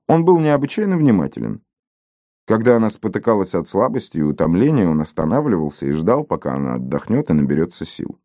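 A man narrating an audiobook with a mellow, rounded tone and a lively melody that rises and falls through each sentence. He keeps a measured storytelling pace. The recording is dry and close, with no room sound. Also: lowish voice, no echo.

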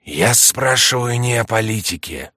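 A man's voice: medium pitch, expressive, sharp tone, no echo, studio quality, voice pushed up. A man voicing a stern, mysterious heavenly accuser, solemn and threatening, in an audiobook. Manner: slow, menacing, solemn.

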